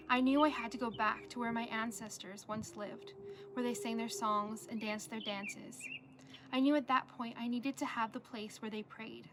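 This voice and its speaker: Noticeable ambient noise sits behind a young woman's voice, which is on the high side. She reads a prepared essay aloud like a student presenting her work. Her delivery is earnest and measured. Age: young adult.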